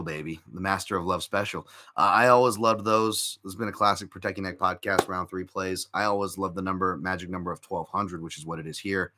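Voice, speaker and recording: A man's voice: low voice, bright, forceful tone. A man hosting a casual live-stream podcast, rambling through betting picks with lots of fillers and restarts while chatting with viewers. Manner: chatty, reacts to viewer comments. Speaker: podcast host.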